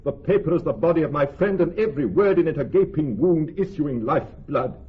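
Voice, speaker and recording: An actor playing a loyal, ardent young Venetian gentleman in classical radio drama, with formal, impassioned verse. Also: a little high, fairly quick, breathy edge. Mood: distraught. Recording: dull vintage radio recording, music behind.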